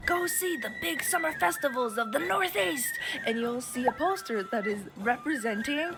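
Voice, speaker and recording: A young woman from Japan streaming and chatting casually, animated and swearing freely as she acts out what other people say. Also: high voice, moderate pace, heavy background noise. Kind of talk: casual chat. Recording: music playing behind.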